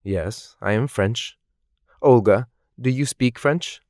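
A man reading scripted English course dialogues in a slow, clearly articulated, measured way. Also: mid-range voice, a little mellow, dry recording, studio quality. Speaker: language course voice actor.

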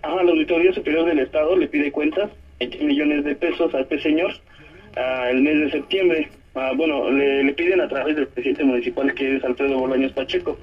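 A man from Oaxaca with a southern Mexican accent gives a halting spoken testimony by telephone, often adding short tag questions. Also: on the high side, steady tone, breathy edge. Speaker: detained community activist giving a statement. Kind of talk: spoken testimony by phone to a press conference. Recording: muffled, narrow-band telephone line.

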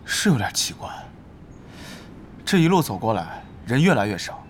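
A man's voice: medium pitch, sing-song, moderate pace, a little hiss or hum, close to an everyday voice. A man playing an eager, admiring gamer teammate. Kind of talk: audio drama acting.

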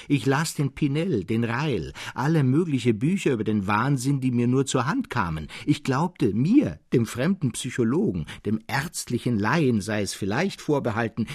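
Guy reading a classic tale, standard german accent, animated melody, bit of a husky edge, careful phrasing. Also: mid-range voice, no room sound, clean studio sound. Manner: expressive, carefully phrased storytelling. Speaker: audiobook narrator. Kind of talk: literary story reading for radio.

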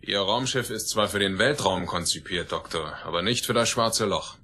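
A low, sharp voice of a skeptical spaceman. He is blunt and challenging, in a science fiction radio drama with faint noise behind him. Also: moderate pace. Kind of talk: radio play acting.

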